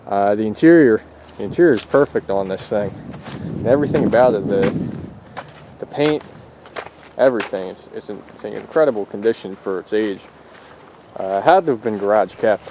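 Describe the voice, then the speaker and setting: A man's voice: on the low side, moderate pace, long pauses. Easygoing man rambling through a noisy car how-to. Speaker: host of a car radio install video. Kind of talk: casual hands-on how-to vlog. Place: in and around a parked car. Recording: steady background noise, outdoor and vehicle ambience.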